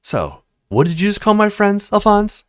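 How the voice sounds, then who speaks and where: A man's voice: sits high, sing-song, moderate pace, no room sound, studio quality, voice pushed up. Man doing an imperious rich young woman. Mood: indignant. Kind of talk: video game character read-aloud.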